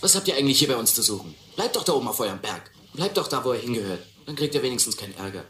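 An actor playing a tough, scornful street boy from the slums, talking gruff, cocky slang in a radio play. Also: medium pitch, very animated, rapid-fire, sharp tone. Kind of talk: German radio play dialogue. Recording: echoey, slightly noisy audio drama recording.

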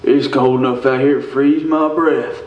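A man's voice: medium pitch, fairly even intonation, normal speed, lo-fi sound, natural voice. A man playing a curt, defensive small-town rodeo rider in a live radio comedy. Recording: echoey theater, distant microphone.

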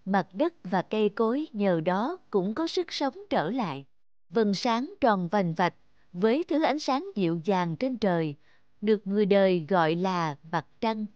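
A storyteller giving scripted narration for a fairy tale in a measured, slow way. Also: medium pitch, a little mellow, dry recording, highs cut off.

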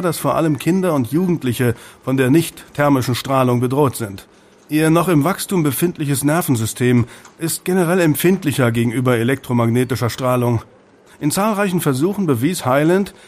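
A man's voice: medium pitch, natural voice. Wry male tabloid reporter played by an actor. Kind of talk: audio drama acting.

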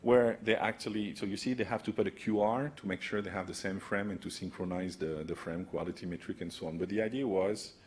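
A man's voice: on the low side, darkish tone. A man in his forties giving a technical conference talk at a podium, explaining casually and often checking in with "right?". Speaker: conference speaker. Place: conference room, large indoor hall. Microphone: podium microphone.

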